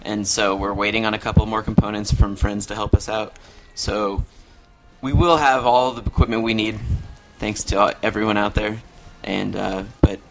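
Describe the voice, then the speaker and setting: Male co-host, low and gravelly, flat delivery, hissy home podcast recording. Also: moderate pace, over music, low-quality recording. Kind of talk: casual podcast chat.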